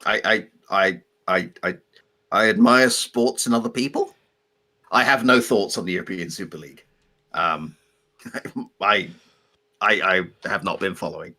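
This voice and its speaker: A man's voice: low voice, a little slow, long pauses, pushed and bright. A man with an English accent, originally from Belgium with French as his first language, co-hosting a casual live stream in a rambling, dry, self-deprecating way. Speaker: co-host.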